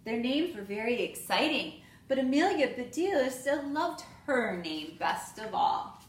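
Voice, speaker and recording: A woman reading a children's storybook aloud in an expressive way, addressing young students. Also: high voice, unhurried. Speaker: school staff member reading aloud to students. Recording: roomy echo, clear background noise.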